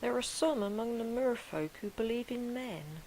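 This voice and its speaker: A woman reading a poem as a wondering, wary mermaid, in a breathy, measured storytelling manner. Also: on the high side, lively intonation, a little mellow, poor audio. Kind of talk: dramatic poetry reading. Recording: faint background hiss.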